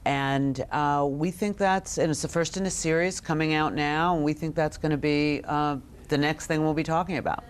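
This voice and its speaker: A middle-aged woman giving an expert interview as a guest, speaking conversationally and haltingly as she thinks aloud. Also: sits low, steady tone, clear, resonant tone. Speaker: books editor, interview guest.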